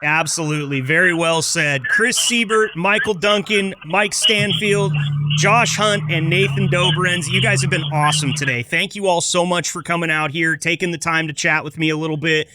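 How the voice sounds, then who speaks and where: A man's voice: a little high, fairly even intonation, moderate pace, noisy background. An American man hosting a casual podcast interview in a chatty, informal and affirming way. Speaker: podcast host.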